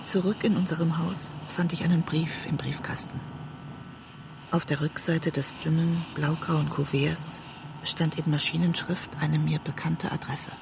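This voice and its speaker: An actress playing a West German woman writer who narrates an obsessive love story in a measured, introspective way, as in a literary audio drama. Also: deep voice, level tone, gravelly, noisy background. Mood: reflective.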